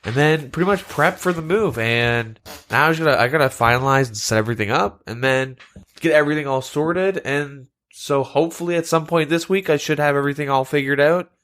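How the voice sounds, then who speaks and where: A man's voice: on the high side, fairly quick, fairly bright tone. A man co-hosting a podcast, talking in a laid-back way with a stuffed-up, nasal voice from a cold. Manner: laid-back, lots of fillers like "like". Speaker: podcast co-host. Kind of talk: casual chat. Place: shared room, two mics.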